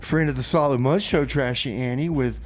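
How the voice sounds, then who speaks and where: Guy doing radio host chat, mid pitch, rambly and casual, little bit of background noise. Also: moderate pace. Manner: rambling, conversational. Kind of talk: casual radio music show chat with comedy bits. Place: radio studio.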